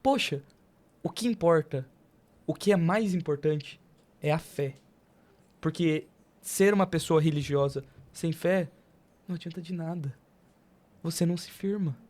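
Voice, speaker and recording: A man's voice: on the high side, measured pace, pauses often, darkish tone. A man with a Brazilian accent hosting a podcast in casual conversation. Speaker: podcast host.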